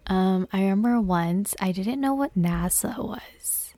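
A young woman co-hosting a casual chat podcast, relaxed and agreeable, laughing easily. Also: medium pitch, unhurried. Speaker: podcast co-host.

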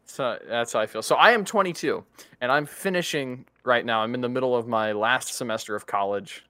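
A 22-year-old man co-hosting a podcast, talking in an animated, chatty way and often correcting himself mid-sentence. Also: mid-range voice, brisk, no echo. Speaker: podcast co-host. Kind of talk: casual chat.

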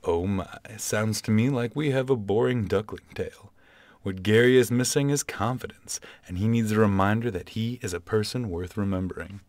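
A man playing a smooth, self-assured late-night love-advice radio DJ in a comedy radio play, with a theatrical, pompous delivery. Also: on the low side, moderate pace, a little mellow, no room sound, natural voice. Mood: suave. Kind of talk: comedy radio play in old-time radio style.

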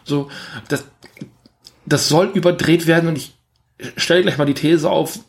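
A man's voice: on the high side, steady tone, moderate pace, vocal fry. A man with a German accent co-hosting a podcast, talking through a film in casual, searching speech with emphatic points. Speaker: podcast co-host. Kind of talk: casual film review chat.

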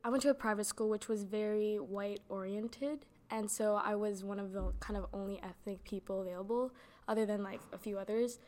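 A woman's voice: mid-range voice, fairly even intonation, brisk, darkish tone. A young woman giving casual interview answers in a chatty way, with lots of "like" fillers. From Canada. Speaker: interviewee, actor.

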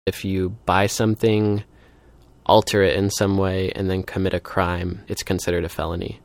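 A man's voice: low voice, level tone, normal speed, vocal fry, dry recording. A man speaking informally as an activist in an interview, thinking aloud and peppering his sentences with "like". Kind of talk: casual spoken interview.

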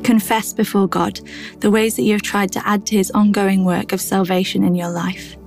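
A woman reading a scripted devotional in a measured, reflective manner. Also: medium pitch, flat delivery, vocal fry, a little mellow, faint music behind. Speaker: devotional reader.

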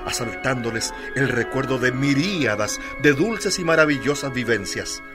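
A man's voice: mid-range voice, moderate pace, natural voice. A man narrating a radio drama as a solemn, florid storyteller. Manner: solemn, literary. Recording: loud music under narration.

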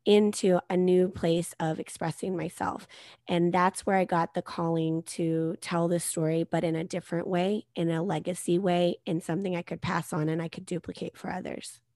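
A woman in her thirties with a soft-spoken voice, a guest author chatting casually in an interview. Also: on the low side, no echo, studio quality.

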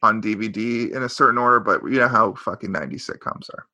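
Podcast co-host, man, casual sitcom recap; bright, tense tone; rambles and self-corrects, sarcastic. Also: fairly low pitch, fast, no room sound, dull audio.

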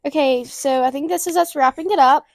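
A laid-back young woman who talks in easy slang. Her voice sits high in her range. The recording is dry and close. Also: brisk, gravelly, a little mellow, no room sound. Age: young adult. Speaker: co-host. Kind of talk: casual chat.

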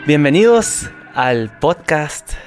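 A man hosting a podcast interview, friendly and a little hesitant, filling pauses with uhs. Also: on the high side, big pitch swings, over loud music. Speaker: podcast host. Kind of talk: interview hosting, switching between Spanish and English.

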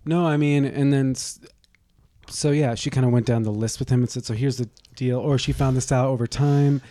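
Snarky podcast co-host with a mellow voice. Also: mid-range voice, steady tone, normal speed, no echo. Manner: snarky quips and interruptions. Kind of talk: sarcastic banter.

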